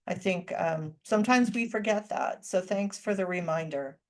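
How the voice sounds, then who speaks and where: A woman moderating a student panel over a video call, speaking calmly and slowly with frequent ums. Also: fairly low pitch.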